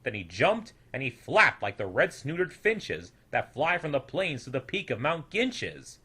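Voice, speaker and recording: A young man reading a rhyming children's story as an expressive, theatrical storyteller with a sing-song rhythm. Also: fairly high pitch, unhurried, husky. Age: young adult.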